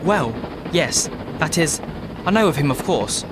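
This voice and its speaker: An actor playing a proud, excitable army lieutenant in a First World War audio drama, formal and clipped. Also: male voice, on the high side, measured pace, noisy background. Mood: startled. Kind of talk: period audio drama acting. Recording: very loud battlefield and vehicle ambience.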